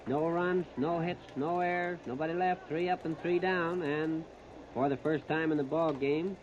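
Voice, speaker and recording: A man's voice: a little high, fairly even intonation, normal speed. An American man calling baseball play-by-play on 1930s radio, plain-spoken and folksy, in short phrases. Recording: muffled, noisy 1930s radio disc recording.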